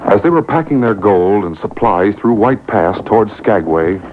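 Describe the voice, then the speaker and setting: Low-pitched radio narrator, tinny and noisy. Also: male voice, expressive. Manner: dramatic, deliberate. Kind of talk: scripted 1950s radio drama narration. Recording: noisy, tinny radio broadcast taped off air.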